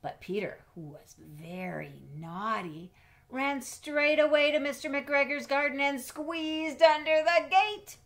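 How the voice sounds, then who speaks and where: A middle-aged woman reading a picture book aloud to children, expressively, pausing to explain and ask questions in a teacherly way. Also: sits high, expressive. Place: small indoor home room with bookshelves.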